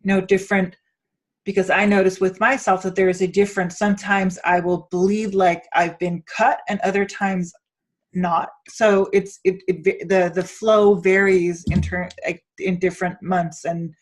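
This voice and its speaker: A woman's voice: mid-range voice, level tone, moderate pace. A woman from Denmark hosting a conversational interview, thinking aloud and often searching for her words as she rambles toward a point. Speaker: podcast host and interviewer.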